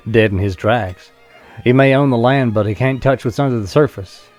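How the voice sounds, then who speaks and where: A man's voice: mid-range voice, normal speed, darkish tone, faint music behind, close to an everyday voice. Man playing a shrewd, assured lawyer. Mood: confident, measured. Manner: assured, businesslike. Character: confident, shrewd male lawyer advising his client. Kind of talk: audio drama acting.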